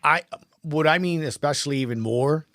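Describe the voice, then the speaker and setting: Higher-voiced man hosting a sports podcast. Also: normal speed, fairly bright tone, no room sound, clean studio sound. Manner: emphatic, repeats phrases, punchy short sentences. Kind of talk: casual sports talk.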